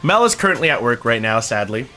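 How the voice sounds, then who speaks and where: A young man streaming, talking casually to his chat, excitable and swearing freely. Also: medium pitch, fast talker, faint music behind.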